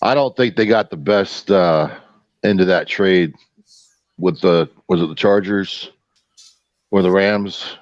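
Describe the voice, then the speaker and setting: A man's voice: deep voice, slow pace, pauses often, no echo. A man on a sports panel giving plain, blunt opinions in casual football chat, with a slightly lo-fi sound.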